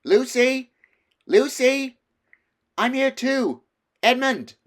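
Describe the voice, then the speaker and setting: High, boyish storybook schoolboy, acted by a man, petulant and awkward. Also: lively intonation, drawn-out words, fairly bright tone, exaggerated voice. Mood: calling out. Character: sulky, awkward young schoolboy.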